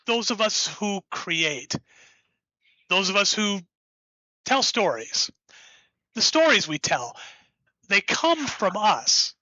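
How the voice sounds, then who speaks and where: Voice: medium pitch, sharp tone, dry recording, highs cut off, exaggerated voice. An actor playing an enthusiastic, rambling writer who created the hero, in an audio drama. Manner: enthusiastic, rambling, self-interrupting.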